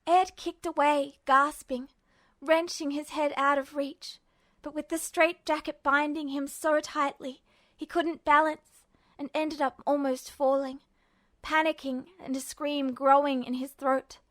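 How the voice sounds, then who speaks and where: Female fiction narrator, high register, dramatic audiobook delivery, dry recording. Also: flat delivery, measured pace, fairly bright tone, dry recording.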